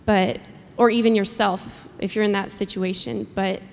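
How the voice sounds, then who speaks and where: A woman's voice: medium pitch, steady tone, normal speed, vocal fry, a little hiss or hum. A woman sharing an earnest church reflection, hesitant and searching for her words. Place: church hall. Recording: long hall reverb.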